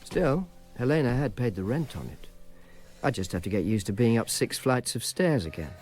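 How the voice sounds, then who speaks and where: A man's voice: medium pitch, very animated, moderate pace, darkish tone, faint music behind. An actor playing a weary, sardonic male informer in ancient Rome, narrating with dry understatement in a radio drama.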